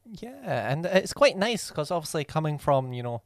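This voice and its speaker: A man's voice: fairly high pitch, very animated, normal speed, dry recording, studio quality. Polite hesitant male podcast co-host. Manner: polite, hesitant, repeats words. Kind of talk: interview questions.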